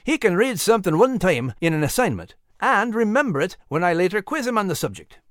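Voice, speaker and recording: A man voicing a genial, even-handed husband and father in a storybook, with a diplomatic manner. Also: sits high, fairly quick, no room sound, studio quality, pitched above the performer's own voice. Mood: proud.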